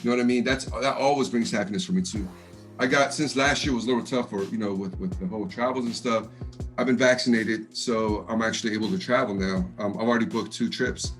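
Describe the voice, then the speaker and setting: A man from Texas with a deep voice and an East Coast lilt, chatting fast and easygoing on a video call interview. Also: soft background music. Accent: East Coast US tinge over Texan. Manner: fast-talking, laid-back, laughs often, says man a lot. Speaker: rapper interviewee. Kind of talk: casual interview chat.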